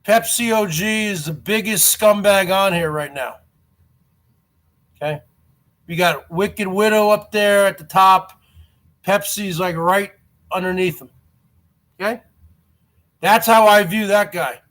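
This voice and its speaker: A man in his forties with a stutter and a high voice hosts a live stream. He talks straight to his viewers and keeps circling back to the same grievance, repeating his words as he goes. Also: measured pace, long pauses, fairly bright tone. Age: 40s. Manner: aggrieved, repeats himself.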